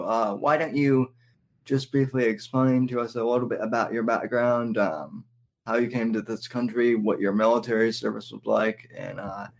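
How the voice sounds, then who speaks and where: A man hosting a podcast, introducing and interviewing a guest, with frequent fillers and pauses. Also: medium pitch, vocal fry. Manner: halting, with many fillers. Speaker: podcast host.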